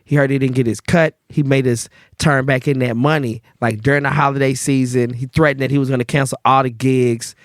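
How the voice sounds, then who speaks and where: A man's voice: medium pitch, steady tone, brisk, hardly any pauses, no room sound, clean studio sound. A man, telling his life story in a loose, slangy podcast chat. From Memphis, Tennessee. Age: 30s. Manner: laid-back slangy storytelling with fillers. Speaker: guest bartender.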